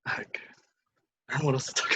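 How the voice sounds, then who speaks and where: A young man from Hawaii talking on a casual student podcast, hesitant and halting, with many pauses. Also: bright, forceful tone. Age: young adult.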